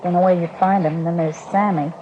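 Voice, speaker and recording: A trusting young woman played by an actress in a 1950s radio crime drama. She speaks earnestly in a fairly low voice, chatty and naive, on a dull old recording with noticeable noise. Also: steady tone, fairly quick, natural voice. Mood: fond, earnest.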